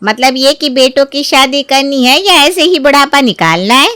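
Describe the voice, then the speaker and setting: A woman's voice: high voice, normal speed, pushed and bright, dry recording, studio quality, voice pushed up. A woman voicing a chatty, pushy older neighbourhood auntie who makes matches, in acted story dialogue. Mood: nosy, insistent.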